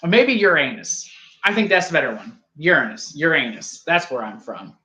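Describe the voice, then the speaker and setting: High, lively man reviewing wrestling. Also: echoey room, rough recording. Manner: rambling, joking, quick to argue. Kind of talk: casual live review chat.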